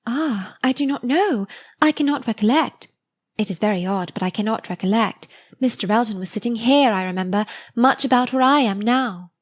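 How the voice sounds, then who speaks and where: A woman's voice: a little high, normal speed, natural voice. A woman voicing a timid, sentimental young woman who speaks in an eager, breathless way, in a storybook reading. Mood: wistful.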